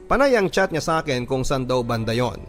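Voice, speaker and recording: A man's voice: medium pitch, sing-song, brisk, fairly bright tone. Filipino guy telling a first person love story radio drama style, music behind him.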